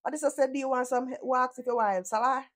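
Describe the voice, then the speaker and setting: A woman's voice: sits high, quick pace. A woman from Jamaica with a Jamaican accent gives sarcastic reaction commentary, blunt and full of profane patois.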